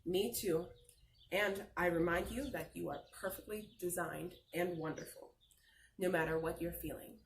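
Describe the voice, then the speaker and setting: Woman putting on a gentle, lowered dad voice. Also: fairly even intonation, moderate pace, vocal fry, echoey room, recorded from a distance, a little hiss or hum. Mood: tender, calm. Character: gentle, reassuring father of a young son. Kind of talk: picture-book read-aloud.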